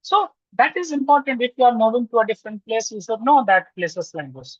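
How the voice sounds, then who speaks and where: A man from Lucknow with an Indian English accent, answering questions as a guest researcher with many pauses and restarts. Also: extremely high pitch, expressive, dry recording. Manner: frequent "uh" pauses and restarts, reasoning out loud. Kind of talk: webinar answers, hesitant and filler-heavy. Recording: dull, dry video call audio.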